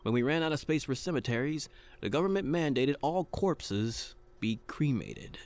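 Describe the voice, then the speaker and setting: An actor playing a brooding, earnest and wry private detective, narrating a noir audio drama. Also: male voice, fairly high pitch, expressive, normal speed, a little mellow, a little hiss or hum.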